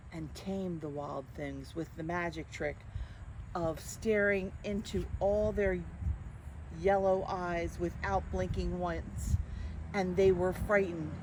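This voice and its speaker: An older woman hosting storytime for young children, playful and expressive as she addresses them directly. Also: lowish voice, background noise.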